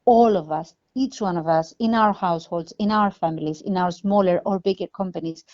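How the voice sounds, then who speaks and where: A woman with a fairly low voice gives earnest, measured interview answers as an executive. The sound is dull and lo-fi, as from a phone call. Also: darkish tone. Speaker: film production company CEO being interviewed.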